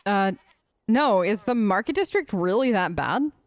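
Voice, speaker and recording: A woman's voice: mid-range voice, expressive, a little slow, no room sound. A woman doing role-play gaming commentary, reading aloud and musing in a conversational way. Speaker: let's player who role-plays her character.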